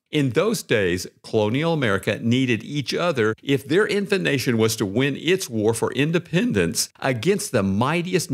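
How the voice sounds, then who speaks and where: A man narrating a scripted podcast article in a measured, articulate way. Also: mid-range voice.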